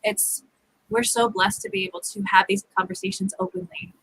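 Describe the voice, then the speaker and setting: A woman's voice: medium pitch, big pitch swings, brisk, gravelly, fairly bright tone, a little hiss or hum, low-quality recording. A woman hosting an interview, asking questions and affirming her guest with enthusiasm. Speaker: host. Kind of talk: casual interview chat.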